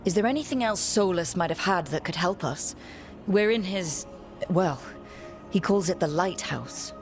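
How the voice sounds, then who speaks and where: An actress playing a resolute young leader in a video game, firm, earnest and direct. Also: female voice, on the low side, lively intonation, a little slow, soft background music. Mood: determined. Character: resolute young heroine, steady team leader.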